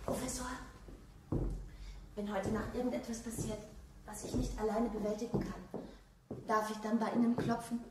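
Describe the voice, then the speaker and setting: A woman's voice: a little high, little pitch movement, normal speed, pauses often, roomy sound, very noisy, lightly acted. An actress playing an anxious, polite young female house companion in a German radio thriller. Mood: timid, uneasy.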